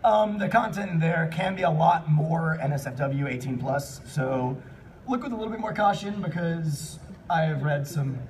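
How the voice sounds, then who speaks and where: An excitable man hosting a live comedic fan panel, reading and chatting with the audience, often bursting into shouts and laughter. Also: a little high, moderate pace. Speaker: panel host and fanfic reader. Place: convention panel room. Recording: distant mic in noisy convention room.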